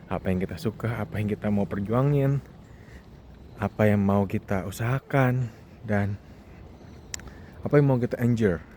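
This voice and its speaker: A man's voice: on the low side, dark tone. A man of thirty, talking casually and reflectively to himself as a podcast host on a walk outdoors. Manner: informal, reflective, self-talking. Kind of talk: casual walking monologue, vlog. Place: outdoors on a campus football field. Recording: noisy outdoor ambience. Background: clear outdoor background noise.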